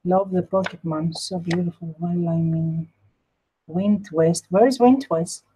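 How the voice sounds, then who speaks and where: Husky Greek-accented woman, casual crafting host. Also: fairly low pitch, unhurried, mellow, low-quality recording. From Greece. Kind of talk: casual live craft demonstration with chat.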